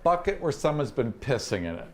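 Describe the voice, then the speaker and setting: A man's voice: mid-range voice, very animated, fairly quick, crowd noise. A man acting as game master, improvising scene narration in a conversational way with vivid asides.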